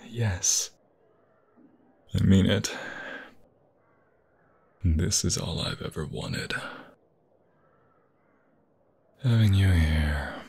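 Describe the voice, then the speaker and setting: Casting: male actor for romantic vampire boyfriend, ASMR roleplay. Low, gravelly, breathy, often whispered; tender, poetic, halting. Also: a little mellow, heavily acted. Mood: tender, hesitant. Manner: halting, sentimental, flowery romantic phrasing. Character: tender, lovestruck vampire fiancé, poetic young man.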